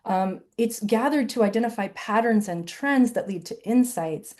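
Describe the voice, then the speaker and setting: Chatty fifty-something woman, mellow voice. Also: a little high, normal speed. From Southern United States and Illinois. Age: 50s. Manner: chatty, animated, tags points with "right?". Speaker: author and webinar presenter. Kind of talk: conversational webinar Q&A answer.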